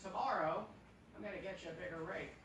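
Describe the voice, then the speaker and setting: A man's voice: sits high, fast, vocal fry, recorded from a distance. Actor as genial sly freeloader man, very echoey. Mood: sly, needling. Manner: folksy, chatty, gently needling. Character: genial, sly, freeloading man who bosses his lodger. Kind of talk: old-time radio comedy acting on stage. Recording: echoey theatre from afar, audience audible. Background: audience noise.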